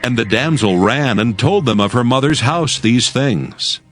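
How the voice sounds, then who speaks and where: A man's voice: mid-range voice, expressive, measured pace, soft background music. A man narrating scripture for a dramatized audio Bible in a formal storytelling manner.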